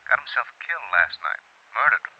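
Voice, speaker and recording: A worried insurance executive in a vintage radio play, businesslike but halting. He has a mid-pitched male voice. It comes through dry and dull, as over an old telephone. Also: very animated, husky, no room sound. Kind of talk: 1950s radio drama acting, phone call.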